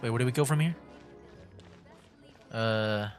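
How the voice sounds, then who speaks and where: A man's voice: medium pitch, measured pace, faint music behind. A man hosting a game livestream with casual commentary, musing aloud in a rambling way. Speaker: livestream gamer host.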